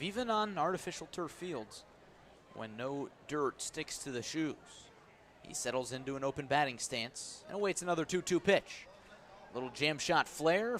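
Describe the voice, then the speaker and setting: A man doing live radio play-by-play of a baseball game, describing the action and stressing the key moments. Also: fairly bright tone. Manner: descriptive, lifts and stresses as each pitch comes. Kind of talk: live radio sports commentary. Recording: clear outdoor ambient noise behind voice. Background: outdoor ballpark ambience.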